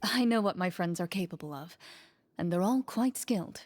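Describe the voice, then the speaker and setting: A woman playing a composed, noble veteran monster hunter in a fantasy game, speaking in a calm, measured, firm way. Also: on the low side. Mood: composed, reassuring. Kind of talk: scripted fantasy game dialogue. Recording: music playing under the voice.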